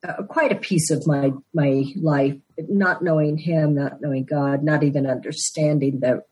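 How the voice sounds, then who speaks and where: A woman's voice: deep voice, fairly even intonation, warm tone, husky, poor audio. A woman in her sixties from Denver, telling her story earnestly as a podcast guest. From Denver, Colorado. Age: 60s. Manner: earnest storytelling, quick laughs. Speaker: guest, author and Christmas elf. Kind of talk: conversational podcast interview.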